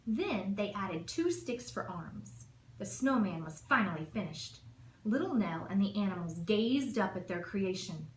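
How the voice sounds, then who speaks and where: Woman reading a kids book out loud, slow n super expressive, echoey room, bit of background noise. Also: medium pitch. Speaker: assistant principal reading aloud. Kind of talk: children's storybook narration.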